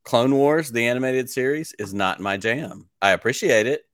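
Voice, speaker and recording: A man's voice: medium pitch, normal speed, dry recording, clean studio sound. A man in his forties hosting a podcast, chatting enthusiastically and winding his way into long questions. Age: 40s. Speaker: podcast host. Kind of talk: casual interview chat.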